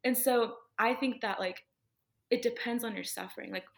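A woman's voice: fairly high pitch, moderate pace, gravelly. A young woman, a podcast guest, talking earnestly about her faith in a casual, rambling way with many fillers.

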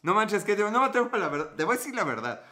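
Mexican host, 30s, casual live chat, swears and jokes, bright tone. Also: male voice, high voice, big pitch swings, rapid-fire. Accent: Mexican Spanish. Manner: joking, profane, playful. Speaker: podcast host. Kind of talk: casual live-stream chat reading comments.

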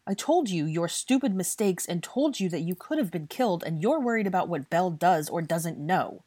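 A woman's voice: fairly low pitch, animated, moderate pace, dark tone, husky, dry recording. A woman voicing a bitter, angry young man who scolds heatedly in an audiobook fantasy story. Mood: heated, defensive. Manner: heated, scolding, British swearing. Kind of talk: audiobook character dialogue.

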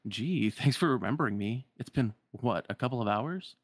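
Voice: medium pitch, animated, normal speed, no echo. A performer voicing a cool, dismissive teenage boy rival with a sarcastic, casual delivery in a game story. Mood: mocking.

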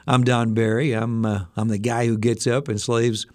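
A man hosting a casual daily news briefing, slightly hoarse and adding personal asides to the headlines. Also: mid-range voice, flat delivery, moderate pace, a little mellow, no echo. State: slightly hoarse, losing his voice. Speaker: radio news briefing host.

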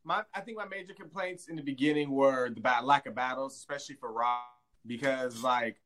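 A man hosting a podcast in casual chat, relaxed and chatty with quick laughs. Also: medium pitch. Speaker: podcast host.

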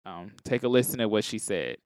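A man's voice: fairly low pitch, lively intonation, a little fast, a crowd in the background. A man co-hosting a casual pop culture podcast, explaining his points with examples as he goes. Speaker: podcast co-host. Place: podcast studio.

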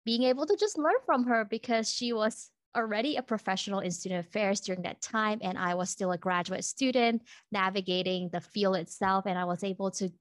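A woman's voice: mid-range voice, no room sound. A woman hosting a panel, reflecting on the guests' stories in a thoughtful way. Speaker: host. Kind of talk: panel moderation.

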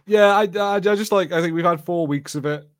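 A man's voice: high-pitched, brisk. Enthusiastic man chatting as podcast co-host. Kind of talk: casual watch-party commentary.

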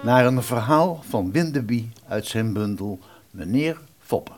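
A man's voice: medium pitch, expressive, measured pace, darkish tone, faint music behind. A man narrating a radio play, reading short scripted announcements between scenes.

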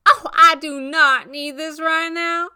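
A woman's voice: very high-pitched, steady tone, drawn-out words, pushed and bright, pitched above the performer's own voice, full character voice. A woman voicing a furious, foul-mouthed woman who scorns her ex in an audiobook, emphatic and scathing. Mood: exasperated. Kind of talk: audiobook character voice.

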